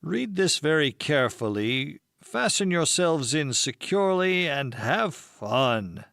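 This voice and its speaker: A man's voice: on the high side, sing-song, slow, vocal fry, a bit bright, dry recording, studio quality, heavily acted. A man voicing a courteous, formal subway conductor in an audiobook. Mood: courteous, official. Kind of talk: audiobook character acting.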